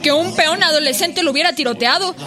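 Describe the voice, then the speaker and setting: An actress playing an assertive commentator in a scripted radio drama, arguing emphatically. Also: female voice, high voice, fairly quick, music in the background, natural voice. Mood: indignant. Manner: emphatic, argumentative. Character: assertive female commentator rebutting historical claims.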